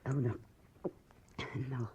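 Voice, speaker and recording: A woman acts a glamorous dancer in a radio play, weak and groggy. Her voice is husky and dark, her words slow, and the vintage sound is muffled. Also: natural voice. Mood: dazed, weak. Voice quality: husky, breathy. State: faint, groggy. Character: weak, dazed glamorous female dancer, just revived. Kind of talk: 1950s radio drama acting.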